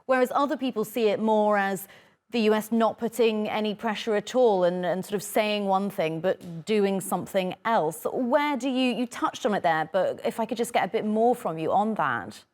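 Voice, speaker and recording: A woman's voice: mid-range voice, animated, normal speed, a little mellow. A woman working as a news presenter, putting questions to a guest in a broadcast interview.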